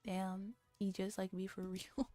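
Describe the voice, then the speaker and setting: A woman chats on an ASMR stream with playful, slangy asides. Her voice is relaxed and close-sounding, very dry, with faint music behind it. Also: medium pitch, a little slow, dry recording. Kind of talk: soft casual ASMR stream chat.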